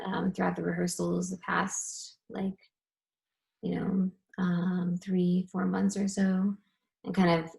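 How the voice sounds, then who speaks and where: A woman's voice: fairly low pitch, gravelly, darkish tone. A woman dance performer answering a panel question, hesitant, with many ums and restarts.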